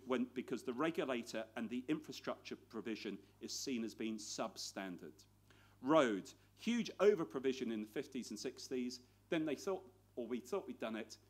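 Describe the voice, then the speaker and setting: Clipped, emphatic male panel speaker. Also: mid-range voice. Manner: clipped, list-like emphatic points. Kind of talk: conference presentation.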